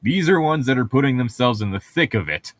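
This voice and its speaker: A man's voice: medium pitch, animated, brisk, no room sound, studio quality. A man co-hosting a read-along, moving between wry, opinionated casual banter and reading a novel aloud, with some swearing. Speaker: co-host of a book read-along show.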